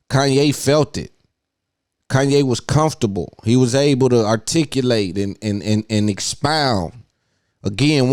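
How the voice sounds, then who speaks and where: A man's voice: mid-range voice, darkish tone, no echo, studio quality. A man hosting a podcast, giving an opinionated monologue and repeating phrases for emphasis. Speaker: podcast host.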